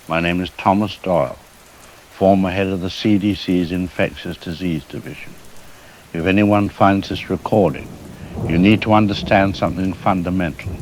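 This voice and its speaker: Guy playing grim resigned scientist at the end of the world, low voice, grave lecture, faint rain hiss behind. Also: rough recording. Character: grim, resigned male disease scientist recording a last testament. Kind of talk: dramatic scripted monologue.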